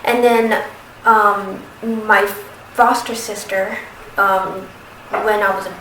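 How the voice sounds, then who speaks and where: A woman in her thirties who stutters, speaking slowly with pauses and restarts in a personal testimony. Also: medium pitch, fairly even intonation, fairly bright tone. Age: 30s. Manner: stutters, with repeated sounds and restarts; slow, careful, with pauses. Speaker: documentary submitter. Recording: roomy, distant from the mic, noisy background.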